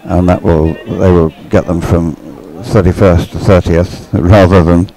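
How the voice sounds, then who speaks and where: A man's voice: low-pitched, fairly even intonation, measured pace, warm tone, poor audio. A man giving casual, explanatory live race commentary as a sports commentator.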